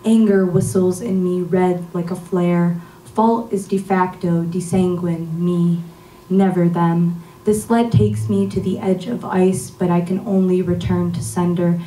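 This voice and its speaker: A young woman poet reads her work at a community open mic, her voice fairly low and measured. The podium mic sits a little away from her, so the sound comes across distant. The room is echoey, with a faint hum of ambience behind her. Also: flat delivery, dark tone. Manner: measured, reading from a phone. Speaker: featured poet. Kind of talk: spoken word poetry reading. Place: community arts center, indoors. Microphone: podium mic on a stand.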